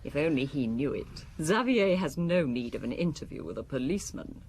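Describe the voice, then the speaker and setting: An actress playing a cold, elegant wife in an audio drama, speaking precisely with haughty contempt and pointed questions. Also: female voice, deep voice, expressive, brisk, darkish tone, slightly rough, exaggerated voice. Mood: cool, pointed.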